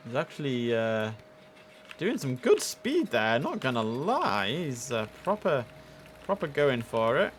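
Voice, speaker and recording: A man's voice: mid-range voice, sing-song, unhurried. A British man giving casual, chatty commentary as host of a game livestream, speaking informally and directly to his viewers. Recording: clear background noise and ambience.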